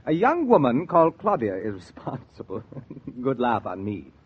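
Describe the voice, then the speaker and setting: A husky man's voice with lively swings, a genteel, self-satisfied gentleman in a 1940s radio play. He is proud but good-humored, on a dull vintage recording. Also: mid-range voice, moderate pace. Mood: rueful, amused. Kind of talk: 1940s radio drama acting. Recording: muffled 1940s radio transcription.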